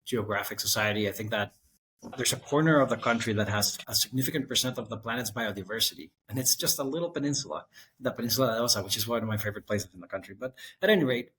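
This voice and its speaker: Man from Costa Rica, thirties, podcast guest, reflective, meandering storyteller, mid-pitched voice. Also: a bit bright, a little hiss or hum, rough recording. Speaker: guest architect. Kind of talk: conversational interview.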